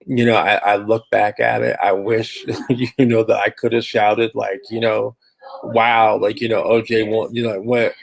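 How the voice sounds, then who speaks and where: A man's voice: on the low side, little pitch movement, unhurried, gravelly, slight background noise. A man from Phoenix speaking as a panelist in a discussion over a video call, conversational, with many fillers and restarts. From Phoenix, Arizona. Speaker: panelist, diversity and inclusion professional.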